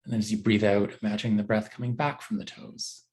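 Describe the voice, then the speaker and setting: A man teaching a guided body scan meditation, giving gentle, unhurried instructions with frequent pauses. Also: fairly low pitch, little pitch movement.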